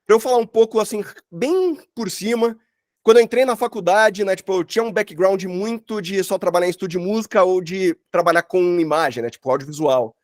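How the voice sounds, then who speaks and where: A man's voice: high voice, brisk, no room sound, clean studio sound. A man teaching an online workshop in an informal, chatty way full of slang and filler tags. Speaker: workshop instructor, sound designer. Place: online video call.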